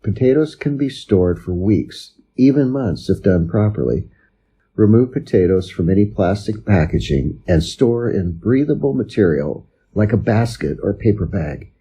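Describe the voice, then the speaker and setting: A man's voice: on the low side, lively intonation, moderate pace, warm tone, husky. A man reading scripted informational passages aloud for an English lesson.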